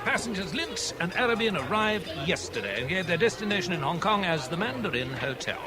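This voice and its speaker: A man's voice: high voice, sing-song, fairly quick, over music. An actor playing an affable, worldly British airline man in a 1970s radio drama, breezy, jocular and colloquial. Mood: brisk, friendly. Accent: British English.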